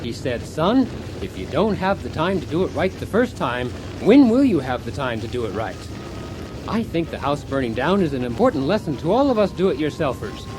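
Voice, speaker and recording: A man's voice: on the high side, very animated, moderate pace, music in the background. A man playing a blithely cheerful, accident-prone husband who hosts a do-it-yourself radio comedy, instructive and unruffled by disaster. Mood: earnest, instructive. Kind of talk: parody how-to radio comedy.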